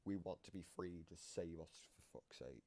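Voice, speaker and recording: A man's voice: deep voice, normal speed, warm tone. A man giving casual explanatory commentary as host of a reaction video, often restating his point.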